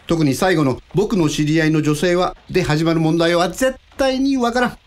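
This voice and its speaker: A man's voice: on the high side, moderate pace, close to an everyday voice. An actor playing a self-doubting, grumbling ordinary man in a radio drama, casual, blunt and easily flustered.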